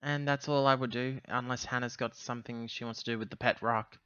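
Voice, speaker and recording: A man improvising in character in a tabletop roleplaying game, speaking in halting, hesitant phrases with pauses. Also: medium pitch, steady tone, pushed and bright, clear, resonant tone, no echo, lo-fi sound.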